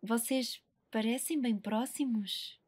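A woman's voice: fairly high pitch, slow, darkish tone, breathy edge. A woman with a European Portuguese accent voicing a bored, scheming young woman teacher who feigns sweetness in an anime fan dub. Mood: coy, sweet.

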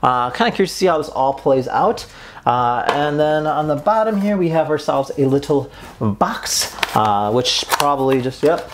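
A man's voice: on the high side, slight background noise. A young adult man presenting a casual product unboxing and review in an upbeat, conversational way, indoors in a small room. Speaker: host of an unboxing and review video.

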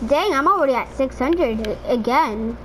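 High-voiced rambling gamer woman. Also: animated, moderate pace. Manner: rambling, filler-heavy, chatty. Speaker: streamer playing in a squad. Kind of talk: casual gaming chat. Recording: noisy game audio behind.

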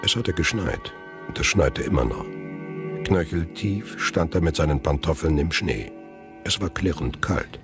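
An actor as the grave narrator of a thriller radio play, setting scenes in a measured way. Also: male voice, extremely low pitch, expressive, over music, exaggerated voice. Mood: measured, suspenseful.

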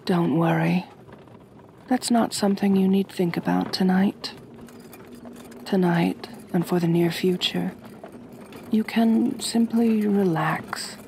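A doting, genteel vampire lady acted by a woman speaks in an intimate ASMR roleplay. Her soft, breathy voice is very unhurried and gravelled with vocal fry, soothing yet quietly commanding. Clear ambient noise sits behind her. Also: lowish voice, fairly even intonation. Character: gentle, doting, aristocratic female vampire mistress.

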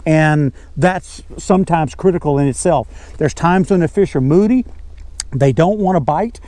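A man's voice: a little high, a little slow, dark tone, dry recording. An older man with a Southern US accent giving an informal, folksy fishing lesson as a guide, repeating key words for emphasis. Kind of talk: informal instructional talk. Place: outdoors on a bass boat on a lake.

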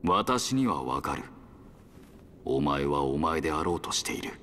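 A man's voice: rumbling low, sing-song, bright, forceful tone, slight background noise, full character voice. A man playing an embittered, weary leader of a machine race in a game cutscene, speaking solemnly and formally. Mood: grave. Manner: solemn, formal, deliberate delivery. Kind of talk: dramatic video game cutscene acting.

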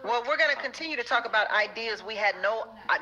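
Fast-talking, bright woman talk show host. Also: fairly high pitch, dull audio. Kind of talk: conversational broadcast interview.

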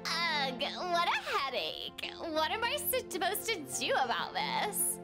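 A woman's voice: very high voice, wildly swooping pitch, slow, over music, exaggerated voice. A woman voicing a frustrated, earnest young female trainee knight, reading game dialogue aloud a little theatrically. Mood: exasperated.

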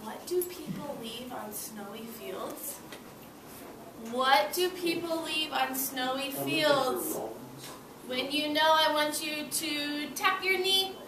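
A young woman, an elementary teacher, leading an interactive read-aloud for small children in an encouraging, expressive way. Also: sits high, slow pace. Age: young adult. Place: classroom with children seated on the floor. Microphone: far camera. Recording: roomy, noisy classroom, distant microphone.

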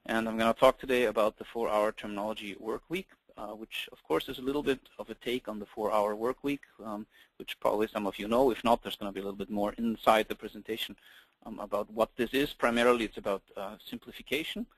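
A middle-aged man with an Austrian German accent presenting a webinar over slides, talking quickly with many ums and uhs. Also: fairly low pitch, fairly even intonation, dry recording, low-quality recording. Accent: German-speaking Austrian. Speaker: webinar presenter, company founder. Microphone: computer mic on a webinar call.

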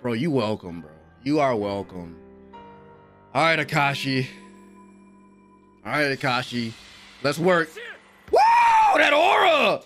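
A young American man giving excited, slangy reaction commentary at home on a close microphone, with an exclamatory manner. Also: mid-range voice, slow, long pauses, soft background music. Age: young adult. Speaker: reaction video host. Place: small room at home. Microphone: close desk microphone with headphones.

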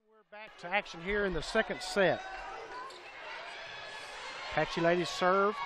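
A man calling a college volleyball match play by play, excitable and raising his voice on big plays. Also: sits high, measured pace. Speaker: play-by-play commentator. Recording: crowd and gym noise behind.

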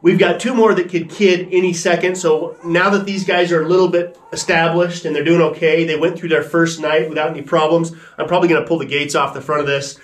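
Relaxed man chatting in a goat barn. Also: on the high side, a little fast, hardly any pauses. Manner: relaxed, chatty, matter-of-fact. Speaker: ranch owner and vlogger. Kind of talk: casual explaining to camera. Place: wooden barn goat pen.

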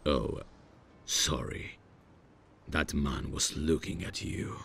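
A man acts out a proud male demon in an ASMR roleplay, with an exceptionally deep voice full of gravel. He speaks extremely slowly and haltingly, awkward yet menacing, in a grumpy deadpan. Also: slight background noise, full character voice. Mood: reassuring. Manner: hesitant, deadpan, menacing, slow.

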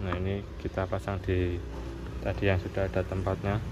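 Low-voiced man over background noise. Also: monotone, moderate pace, highs cut off. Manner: informal, repetitive step-by-step explaining. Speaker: motorcycle accessory shop presenter. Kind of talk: casual hands-on tutorial narration. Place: indoors at a wooden workbench. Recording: clearly noisy room with background hum.

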